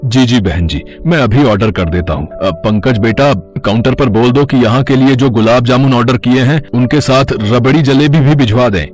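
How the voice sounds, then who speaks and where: A man's voice: mid-range voice, moderate pace, hardly any pauses, darkish tone, faint music behind, lightly acted. A man voicing a courteous middle-aged father of the bride in a cartoon, deferential and obliging. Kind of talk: cartoon voice acting.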